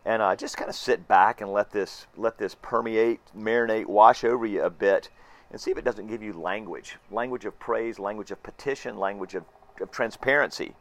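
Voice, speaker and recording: A hoarse man preaching a Sunday sermon in a lowish voice. He speaks earnestly and reflectively, repeating key phrases for emphasis and restarting his sentences as he goes. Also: normal speed, a bit bright. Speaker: pastor.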